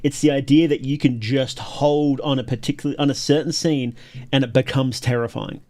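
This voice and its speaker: A man's voice: medium pitch, fairly even intonation, moderate pace, darkish tone. A man hosting a livestream, giving casual, enthusiastic reaction commentary with emphatic repetition. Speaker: livestream host reacting to videos.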